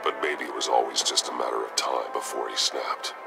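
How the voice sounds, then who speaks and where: A man playing a grim, stern masked vigilante in a video game, terse and commanding with a gravelly voice. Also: a bass voice, steady tone, fairly quick, loud music behind the voice, exaggerated voice. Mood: grim, focused. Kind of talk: video game character acting.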